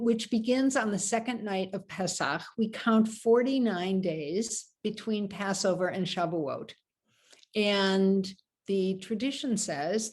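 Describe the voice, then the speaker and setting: American woman rabbi teaching, rambling with ums. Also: mid-range voice. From United States. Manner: warm, meandering teaching with many ums and asides. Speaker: rabbi teaching Torah study. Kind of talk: informal Torah study over video call.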